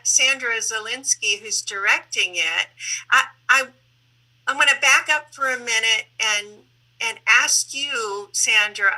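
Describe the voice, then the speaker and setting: A woman's voice: mid-range voice, bright, forceful tone, poor audio. A woman hosting a conversational panel interview over a video call, putting questions to guests. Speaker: interview host.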